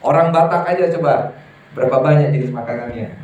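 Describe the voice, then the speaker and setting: A man preaching a sermon to a congregation, lively and emphatic, often putting questions to his listeners. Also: medium pitch, fairly quick, highs cut off. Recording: echoey hall from far off, faint ambience.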